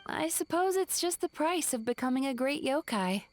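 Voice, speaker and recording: A woman voicing an earnest, gentle young yokai in a video game, speaking in a measured, slightly wry way. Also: high-pitched, expressive, a little hiss or hum. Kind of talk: video game character acting.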